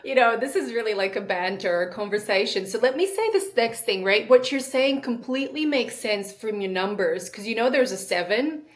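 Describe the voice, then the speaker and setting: A woman's voice: fairly high pitch, lively intonation, moderate pace, few pauses. A woman in her thirties hosting a podcast interview, chatty and laughing often, cutting in on her guest. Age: 30s. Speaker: podcast host. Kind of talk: casual interview chat.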